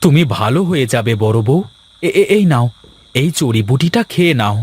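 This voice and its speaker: A man voicing a well-off village husband, fretful yet caring, in a cartoon fairy tale with drawn-out, expressive delivery. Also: medium pitch, husky. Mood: concerned.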